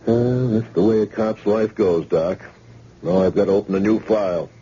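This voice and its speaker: An actor playing a level, businesslike police detective sergeant in an old-time radio drama. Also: male voice, lowish voice, steady tone, moderate pace, mellow, slightly rough. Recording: hissy, muffled old radio recording.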